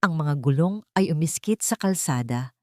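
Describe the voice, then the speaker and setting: A woman's voice: sits low, animated, normal speed, no room sound, studio quality. A woman reading a scripted romance story as a dramatic narrator.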